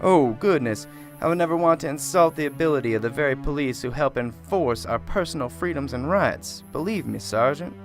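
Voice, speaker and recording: A man playing a soft-spoken, pious small-town reverend who rambles earnestly, in an amateur radio drama, with faint music behind. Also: fairly high pitch, lively intonation, fairly quick. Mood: solemn. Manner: gentle, pious and wordy.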